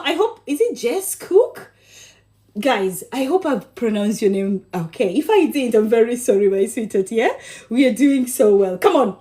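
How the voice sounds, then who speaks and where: Woman, from Kenya, high voice, bubbly, affectionate, teasing, frequent laughter, casual livestream chat. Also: a little mellow. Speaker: livestream cooking host.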